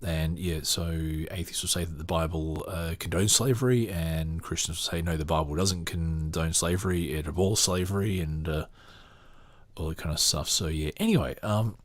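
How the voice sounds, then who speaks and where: A man hosting a podcast, chatting with many hesitations, then reading a book aloud in a steady, measured way. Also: deep voice, no room sound. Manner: hesitant, filler-heavy chat between measured reading. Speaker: podcast host. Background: faint guitar music.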